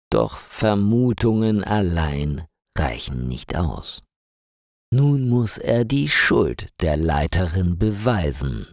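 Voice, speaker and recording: A man reading a crime story aloud in slow, deliberate scripted narration for language learners. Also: fairly low pitch, no echo, studio quality. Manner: clear, deliberate reading with lively intonation.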